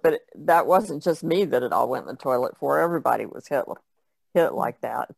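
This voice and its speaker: Creaky-voiced woman in casual chat. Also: low voice, dry recording, low-quality recording. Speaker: artist on a podcast panel.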